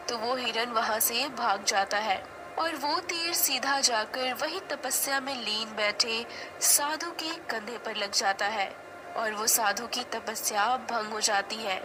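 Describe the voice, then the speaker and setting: A woman's voice: fairly high pitch, poor audio. A woman narrating a scripted story as the storyteller.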